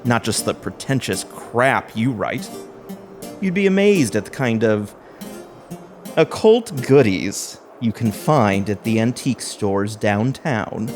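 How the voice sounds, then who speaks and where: A man's voice: fairly low pitch, animated, slow, a little mellow. A man acting in an audio play as a resentful husband turned scheming killer, with a theatrical manner. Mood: smug, cold. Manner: theatrical, switching from pleading to smug. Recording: music behind the voice.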